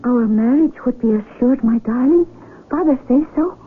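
An actress playing a devoted young sweetheart in a radio drama, tender, imploring and melodramatic. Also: female voice, on the high side, moderate pace, phone-quality sound, slight background noise, lightly acted. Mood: pleading. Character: devoted young sweetheart, pleading gently to be married. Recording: thin, band-limited old radio recording.

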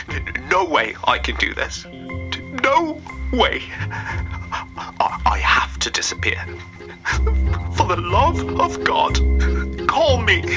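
An actor playing a desperate, panicking male celebrity in an audio drama, halting, breathy and pleading. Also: on the high side, exaggerated voice, low-quality recording.

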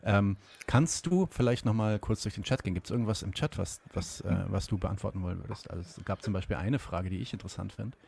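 A man's voice: lowish voice, fast talker, creaky, few pauses, darkish tone, slightly rough. A man from Germany hosting an interview, asking long, searching questions with many fillers. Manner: hesitant, searching for words, asks long questions. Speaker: host. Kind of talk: conversational interview.

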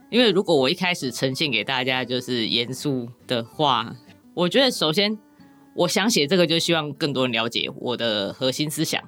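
A woman's voice: rumbling low, fairly bright tone. A woman with a Taiwanese Mandarin accent chats casually and candidly as a guest novelist, joking often and poking fun at herself. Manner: self-deprecating, joking, giggly. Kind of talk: casual, candid podcast chat.

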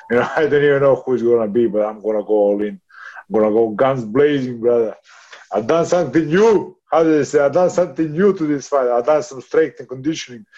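A man's voice: medium pitch, darkish tone, lo-fi sound. Swearing man in his thirties, laughing often. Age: 30s. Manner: rough, swearing, laughs often, calls others brother. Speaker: boxer. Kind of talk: casual interview.

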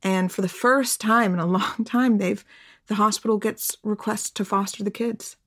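A woman's voice: medium pitch, fairly even intonation, moderate pace, a bit bright. A woman on a podcast who speaks in an earnest, articulate and emphatic way while explaining historical background. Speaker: podcast guest.

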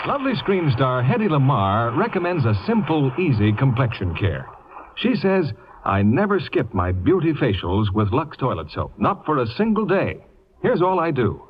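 A man's voice: mid-range voice, sing-song, moderate pace. A man announcing a scripted 1950s radio advertisement. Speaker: commercial announcer. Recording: muffled, noisy old radio recording.

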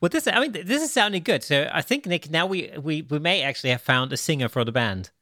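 A man's voice: fairly high pitch, lively intonation, brisk, bright, forceful tone, no room sound, studio quality. A man hosting a podcast in an upbeat, jokey way, introducing a guest. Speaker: podcast host.

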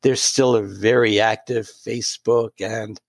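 A man in his late sixties telling a personal story in an interview, pausing and restarting often. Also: lowish voice, steady tone, gravelly, no room sound. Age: 60s. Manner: warm, halting anecdotal storytelling with many restarts. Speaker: guest.

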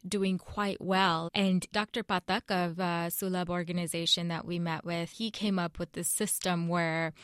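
A young woman correspondent explaining a reported story in an earnest, measured way during a news interview. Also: fairly low pitch, flat delivery, fairly bright tone, clear, resonant tone, no room sound, clean studio sound.

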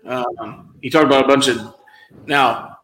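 Rambling male podcast co-host, mid pitch, recorded in a roomy echoey space. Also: fairly even intonation, a little slow, fairly bright tone. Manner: rambling, lots of fillers and restarts. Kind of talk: casual wrestling podcast chat.

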